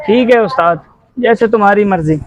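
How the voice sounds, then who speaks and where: A man's voice: high voice, a little fast, dry recording, muffled recording, natural voice. A man playing a conscientious young garage helper who frankly chides his boss, in an acted moral-story skit. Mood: reproachful.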